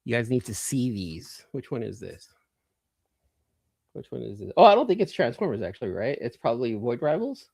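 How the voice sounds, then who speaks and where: Animated, enthusiastic man hosting a geek stream. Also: mid-range voice, normal speed, vocal fry, husky, dry recording, studio quality. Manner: enthusiastic, repeats phrases for emphasis; informal slangy talk. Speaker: host of a geek collecting live show. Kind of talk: casual live-stream chat showing off comics.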